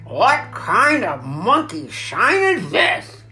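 A woman's voice: a little high, wildly swooping pitch, a little mellow, soft background music, voice pushed up, full character voice. Woman acting a grumpy, growling old storybook rat. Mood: disgusted. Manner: growling, mocking, drawn-out complaints. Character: grumpy, sarcastic, self-pitying old male rat. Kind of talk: dramatic storybook character reading.

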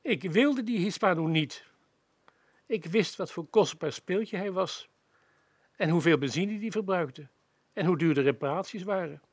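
An actor playing a practical hotel manager telling his story in a radio play, with a gravelly, husky voice. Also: sits high, unhurried, no echo, heavily acted. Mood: dry, reluctant.

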